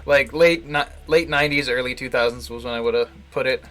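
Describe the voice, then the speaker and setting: A man giving casual live playthrough commentary, wry and halting, often restarting his phrases. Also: medium pitch, bright, forceful tone, soft background music. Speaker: streamer playing a visual novel.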